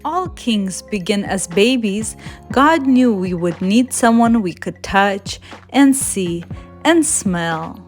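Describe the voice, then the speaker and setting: A woman voicing a patient, loving mother bear who explains things gently in a storybook. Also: medium pitch, animated, slow, faint music behind. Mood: reassuring.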